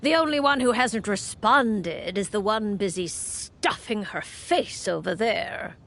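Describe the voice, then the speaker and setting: A woman voicing a calm, aloof seer witch in a video game, measured and commanding. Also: mid-range voice.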